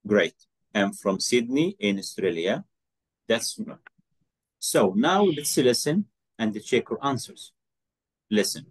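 A man teaching an English lesson over a video call, slow and patient, encouraging his student and repeating phrases. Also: on the low side, sing-song, breathy edge, no room sound, low-quality recording. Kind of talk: online one-to-one English lesson.

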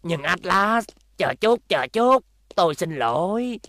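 A man's voice: sits high, big pitch swings, slow pace, pushed and bright, full character voice. A man dubbing a scheming middle-aged gang boss in a cartoon, talking in an oily, wheedling way.

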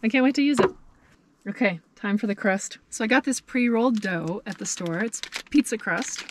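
A woman's voice: fairly high pitch, moderate pace, slight background noise. A woman in her thirties vlogging from inside a parked minivan camper, cheerfully thinking aloud as she cooks. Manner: chatty and cheerful, thinking aloud while cooking. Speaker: van camping vlogger. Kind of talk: casual cooking vlog. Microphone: camera mounted in the van.

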